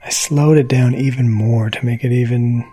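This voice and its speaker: A man's voice: mid-range voice, fairly even intonation, normal speed, slightly rough. A man giving a casual spoken introduction to a podcast episode as its producer. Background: soft gentle music.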